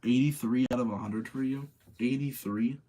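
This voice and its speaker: A man with a husky, slightly rough voice at an average pitch, talking casually like a livestream host. He argues his opinions out loud and circles back to repeat phrases as he addresses his viewers. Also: normal speed, low-quality recording. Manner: opinionated, self-debating, repeats phrases for emphasis. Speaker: streamer hosting a live movie-rating chat. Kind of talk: casual chat with live audience.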